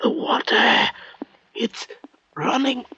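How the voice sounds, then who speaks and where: A woman voicing a gasping, croaking figure in an old-time radio drama. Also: on the high side, expressive, slow, bright, forceful tone, full character voice. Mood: desperate, gasping. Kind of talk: golden-age radio drama acting. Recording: muffled noisy vintage radio recording.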